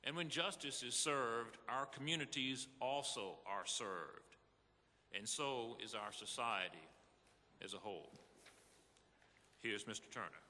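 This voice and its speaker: Man playing a bright, forceful formal justice. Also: mid-range voice, unhurried, long pauses, a little hiss or hum. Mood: earnest, emphatic. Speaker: reader in a readers theater re-enactment. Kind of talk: scripted dramatic reading to an audience. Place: large hall before an audience. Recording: echoey hall with long reverb.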